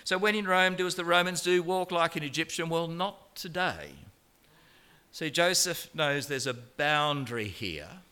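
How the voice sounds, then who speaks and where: Animated male preacher with a bright voice. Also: a little high, measured pace, clear, resonant tone. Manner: rhetorical questions and repeated phrases for emphasis; wry, colloquial humor. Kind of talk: conversational sermon on a Bible passage.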